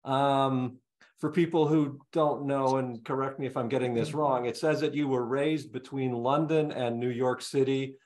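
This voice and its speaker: A man's voice: mid-range voice, steady tone, moderate pace, darkish tone. Informal male interviewer with a mid-range voice. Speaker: music interviewer. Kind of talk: casual interview chat.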